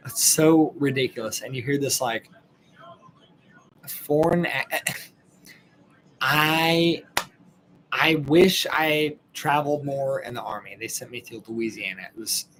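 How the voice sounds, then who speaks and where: A man's voice: mid-range voice, fairly bright tone, a little hiss or hum, low-quality recording. A man in his late twenties with a Texan accent, hosting a podcast and reacting to a clip in casual, rambling commentary, blunt and sprinkled with swearing, sounding tired late at night. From Texas, USA. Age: late 20s.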